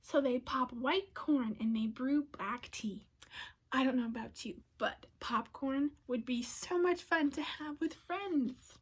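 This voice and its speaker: A woman reads a children's storybook aloud in a high voice. Her pace is very slow and her delivery expressive, often softening to a hush as she involves young listeners. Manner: expressive, often dropping to a breathy hush, asks listeners questions. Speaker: storybook reader. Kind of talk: children's read-aloud narration.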